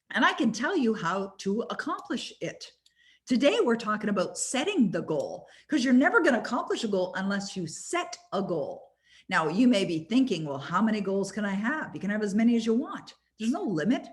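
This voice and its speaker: A woman hosting an online group call, teaching goal setting in an emphatic style that repeats key phrases. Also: mid-range voice. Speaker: host and success coach. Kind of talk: motivational webinar teaching.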